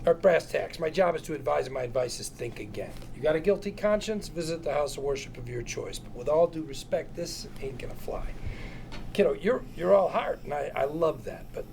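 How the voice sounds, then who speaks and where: A man acts out a glib, nervy strip-mall lawyer in a script read, fairly high in pitch with some creak. He wheedles, stammers and wisecracks over faint room noise. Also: animated, fairly quick, darkish tone. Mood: cajoling. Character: slick, fast-talking strip-mall lawyer, jittery and cajoling. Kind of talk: amateur table read of a TV script.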